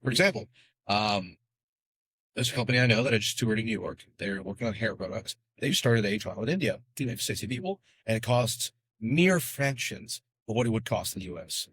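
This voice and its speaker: A male podcast guest who talks very fast and keeps the explanations pouring out, tossing in quick asides as he goes. The recording is dry and close, with no room sound. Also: on the low side, animated, a bit bright, slightly rough, no room sound, poor audio. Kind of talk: casual podcast interview.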